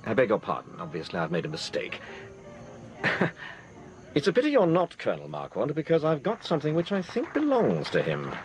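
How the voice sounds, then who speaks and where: An actor playing an urbane, wry amateur detective in a radio drama. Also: male voice, mid-range voice, huge pitch swings, brisk, faint music behind, poor audio. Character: suave amateur detective, dry wit.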